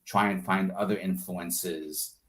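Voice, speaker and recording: A man's voice: low voice, fairly even intonation, slow pace, fairly bright tone. A man being interviewed as an artist, talking casually and thoughtfully about his creative process, often searching for words and peppering in "like" and "you know".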